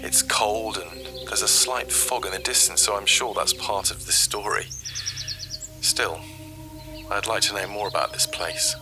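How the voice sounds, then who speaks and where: A man playing a curious professor who muses aloud, pausing as he records field notes in an audio drama. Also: fairly low pitch, steady tone, close to an everyday voice. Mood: curious, musing. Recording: music and birdsong behind voice.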